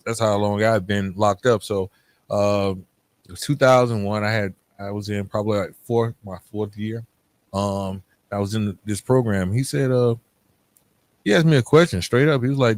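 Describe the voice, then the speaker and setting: A man's voice: on the low side, normal speed. A middle-aged man telling a personal story casually, informal in his wording and acting out both sides of remembered exchanges. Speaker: podcast guest telling his own story. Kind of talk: casual storytelling with re-enacted dialogue.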